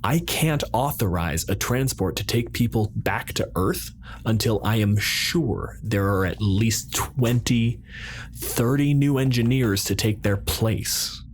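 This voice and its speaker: Man playing a deliberate, coolly authoritative colonial president. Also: fairly low pitch, animated, slight background noise. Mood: firm, unyielding. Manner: deliberate, formal, halting with pointed pauses. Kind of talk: improvised roleplay dialogue.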